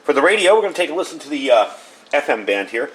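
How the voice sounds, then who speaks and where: A man's voice: fairly high pitch, fast. A man giving a casual hands-on gadget review, explaining things step by step in an informal way. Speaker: product reviewer. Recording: clear steady background noise.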